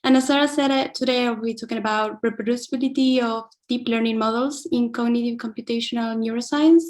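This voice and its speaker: A woman's voice: fairly high pitch, steady tone, fast talker, darkish tone. A young woman from Argentina giving an academic keynote talk, careful and thoughtful, with frequent fillers and restarts. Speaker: invited keynote speaker.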